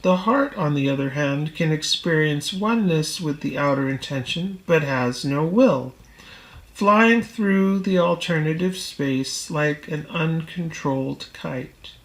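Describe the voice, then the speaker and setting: A woman reading a self-help book aloud as scripted narration, in a deliberate, measured manner. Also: sits low, expressive. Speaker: audiobook reader.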